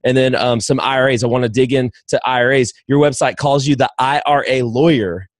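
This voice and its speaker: A man's voice: medium pitch, a little fast, no echo. A man hosting a podcast interview, who asks his questions in an enthusiastic, rambling way with many restarts. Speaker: podcast host.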